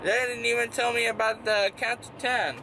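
A man's voice: extremely high pitch, fairly even intonation, normal speed, noisy background. A young adult man in a casual vlog, talkative and rambling while drowsy after dental surgery. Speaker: vlogger. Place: car, small house rooms and bedroom. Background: music.

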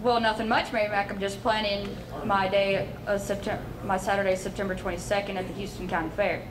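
A woman's voice: medium pitch, flat delivery, brisk. Young woman reading an event list, echoey room. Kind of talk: scripted skit announcing events. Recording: echoey room, distant mic, noise.

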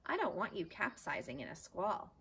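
A woman reads as a proud, blustery man with a toy schooner in a children's story. He is emphatic and bossy, with very animated intonation. Faint outdoor noise sits behind the voice. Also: fairly low pitch, normal speed, gravelly, exaggerated voice. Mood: wary, firm. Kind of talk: children's storybook read-aloud. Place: outdoors in a backyard garden.

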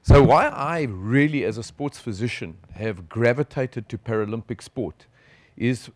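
A man's voice: mid-range voice, no echo. A middle-aged South African man, a sports physician, lecturing at a conference from a lectern with conversational, emphatic delivery. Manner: conversational, animated with gestures, emphatic pauses. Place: conference hall stage at a lectern. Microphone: lectern microphone.

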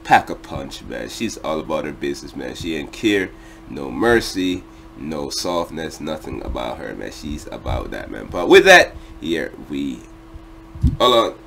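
A man's voice: a bass voice, very animated, measured pace, vocal fry, a bit bright, a little hiss or hum. A young man hosting a casual reaction video in a slangy, laid-back way from a small room. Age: young adult.

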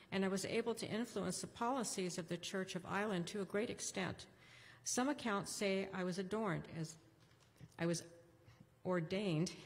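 A middle-aged woman portraying a gentle, humble early Irish woman saint in a soft, measured first-person monologue at a church lectern. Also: medium pitch, natural voice. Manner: soft, measured, reverent recitation. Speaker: lay reader in costume. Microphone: lectern microphone. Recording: echoey church hall.

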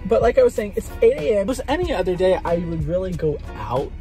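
A man's voice: sits high, sing-song, fast talker, faint music behind. Chatty young man vlogging from his car. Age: young adult. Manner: chatty, self-mocking, jumps into loud sing-song bits. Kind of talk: casual chat while driving. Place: inside a car. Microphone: camera on the dashboard.

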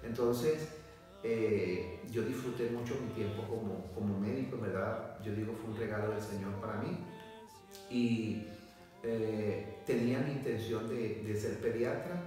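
Venezuelan guy 40s, talks slow and careful w lots of fillers, echoey hall sound. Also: fairly low pitch, mellow. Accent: Venezuelan Spanish. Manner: measured, hesitant with many fillers, gesturing. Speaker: pastor and missionary, former doctor. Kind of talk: testimony interview. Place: indoor room, seated on sofa. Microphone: far camera. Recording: echoey room from a distance, music behind.

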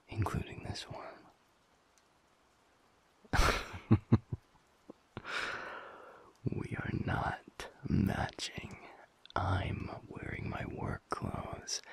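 A man playing a teasing, affectionate werewolf boyfriend in an intimate audio roleplay, with a breathy, gravelly murmur and a playful mock-stern manner. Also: lowish voice, full character voice.

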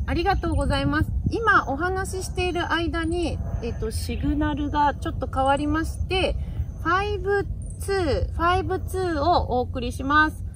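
A woman's voice: high-pitched, moderate pace. A cheerful young woman chatting and making formal radio calls outdoors, with a giggly manner. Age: young adult. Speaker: amateur radio vlogger. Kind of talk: casual radio contact chat, half formal call procedure. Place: outdoors in a grassy hilltop park. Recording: outdoor background noise.

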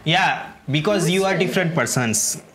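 Slow-paced game streamer with wry asides. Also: mid-range voice, lively intonation, poor audio. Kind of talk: casual playthrough commentary. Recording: game audio and music behind the voice.

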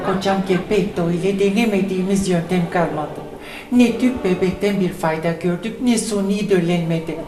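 A woman's voice: mid-range voice, reverberant, close to an everyday voice. A woman playing an exasperated childless wife. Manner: complaining, exasperated. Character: frustrated childless wife praying for a baby.

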